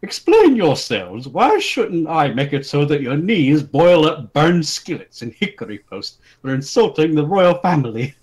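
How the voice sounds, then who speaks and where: A man's voice: fairly high pitch, big pitch swings, unhurried, hardly any pauses, a little mellow, voice pushed up. A man voicing an angry, indignant king and protective father in a storybook reading. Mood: stern fury.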